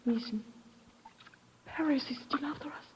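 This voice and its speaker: An actress playing a frightened, urgent heroine with a Spanish accent in an old radio drama. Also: female voice, sits high, moderate pace, darkish tone, close to an everyday voice. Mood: anxious. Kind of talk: 1950s radio drama acting. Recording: muffled hissy old radio, long reverb.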